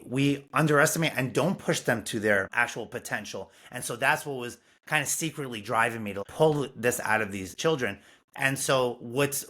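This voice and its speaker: A man talks with earnest, animated energy as a podcast guest. His voice has a bright, forward edge as he explains his ideas. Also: mid-range voice. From Brooklyn, New York. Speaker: music curriculum founder, guest. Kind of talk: casual interview talk.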